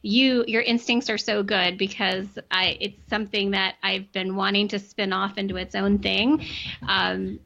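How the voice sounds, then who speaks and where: A woman chatting as a podcast guest, talking easily with many ums and restarts, her voice a bit worn and clearing her throat now and then. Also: mid-range voice, little pitch movement, measured pace, pushed and bright, lo-fi sound. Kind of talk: casual interview chat.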